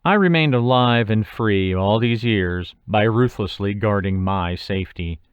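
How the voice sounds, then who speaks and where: A man's voice: on the low side, a little slow, clean tone, no echo, phone-quality sound. A man reading his own short story aloud as scripted first-person audiobook narration.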